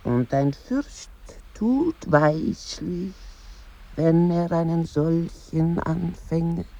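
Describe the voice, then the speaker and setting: An actress playing a measured woman who recites and reports soberly in a radio play. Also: lowish voice, dark tone, full character voice.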